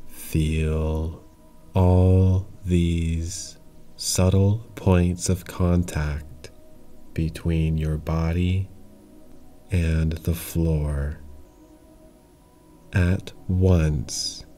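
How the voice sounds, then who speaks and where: A man leads a guided relaxation in a deep voice at an extremely slow pace. He gives calm, simple instructions in short phrases, separated by long pauses. Faint music plays behind him. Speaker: yoga nidra meditation guide.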